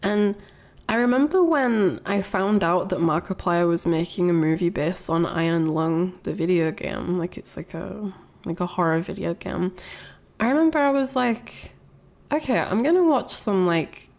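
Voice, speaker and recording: A woman's voice: lowish voice, animated, normal speed, darkish tone. A young adult woman streamer chatting casually with her viewers, rambling with lots of fillers, sounding congested from a cold. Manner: rambling, many fillers and restarts. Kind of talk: casual chat with chat.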